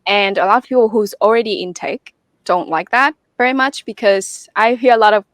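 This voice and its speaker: A woman's voice: medium pitch, fairly quick, dry recording. A woman speaking as an interview guest, earnest and thinking aloud with many fillers. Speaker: guest, security researcher. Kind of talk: candid interview conversation.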